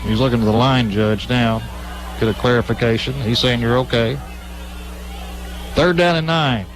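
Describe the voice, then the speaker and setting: A man's voice: medium pitch, normal speed, faint music behind, low-quality recording. An American man giving live football play-by-play as a radio-style sports announcer at a game. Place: live at a high school football stadium.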